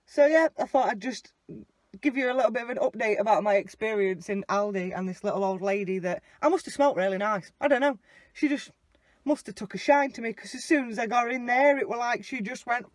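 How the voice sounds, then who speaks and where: A woman's voice: fairly high pitch, no echo. Sweary woman in a car. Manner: animated, sweary, blunt. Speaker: vlogger. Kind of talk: casual storytelling. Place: inside a car. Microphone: phone camera mounted on the dashboard.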